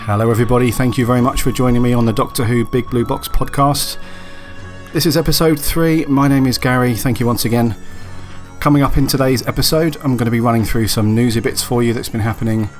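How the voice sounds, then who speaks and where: A man hosting a solo podcast who sounds raspy and husky from a cold. He chats casually and rambles, with many ums and restarts. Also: mid-range voice, steady tone, quick pace, soft background music. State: hoarse from a cold. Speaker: podcast host.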